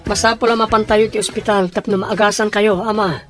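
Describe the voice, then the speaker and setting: High-pitched man, Ilocano Filipino accent, acting as earnest son who refuses to fight, pleading radio drama delivery. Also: level tone, fairly quick, over music, natural voice.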